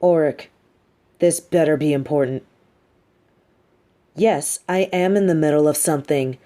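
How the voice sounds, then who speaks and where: A low, velvety female supervillain, acted by a woman for an audio roleplay. She talks slowly and deliberately, condescending and theatrical, with cool menace and a manipulative streak of sympathy. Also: warm tone, a little hiss or hum. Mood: curt, scheming. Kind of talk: scripted audio roleplay addressed to the listener.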